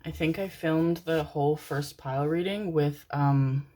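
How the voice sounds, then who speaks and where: A woman's voice: deep voice, unhurried, mellow. A young woman doing a casual tarot reading to camera, chatty and rambling, full of fillers and affectionate pet names. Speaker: tarot reader.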